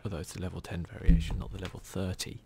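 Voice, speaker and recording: A man commentates on a video game in a hushed ASMR style. His voice sits low with a marked vocal fry and often drops to a whisper. He is close to the microphone, with clear noise behind him. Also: flat delivery, rapid-fire. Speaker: gaming video host.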